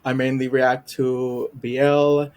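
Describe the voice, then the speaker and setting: A man's voice: mid-range voice, little pitch movement, slow pace, a little mellow. A man from Puerto Rico whose first language is Spanish, chatting as a podcast guest in a shy, hesitant way with many ums and small laughs. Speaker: guest, YouTube reactor. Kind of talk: casual chat interview.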